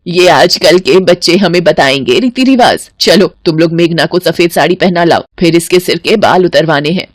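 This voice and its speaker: A woman's voice: lowish voice, moderate pace, no echo, clean studio sound, natural voice. A woman playing a stern, scornful old great-aunt in an audio family drama, scolding and imperious.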